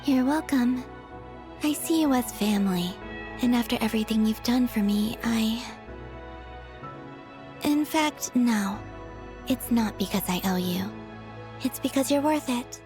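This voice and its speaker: A woman voicing a gentle, wistful young moon goddess in a game cutscene, speaking softly and sincerely in a measured, breathy way. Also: on the high side, music in the background, lightly acted. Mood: warm, fond.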